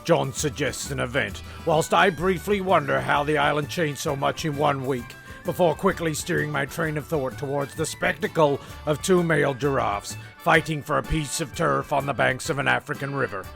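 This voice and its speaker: A man's voice: on the high side, moderate pace, creaky, few pauses, a bit bright, faint music behind. A man narrating a comedy show, rambling and stammering through his own asides. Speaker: narrator and creator. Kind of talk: comic narration.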